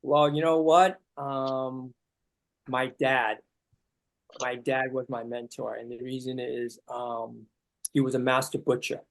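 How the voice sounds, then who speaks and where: Gravelly man from Chile. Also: mid-range voice, little pitch movement, slow pace, poor audio. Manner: rambling, reflective storytelling with many fillers. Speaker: chef. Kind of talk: casual panel talk.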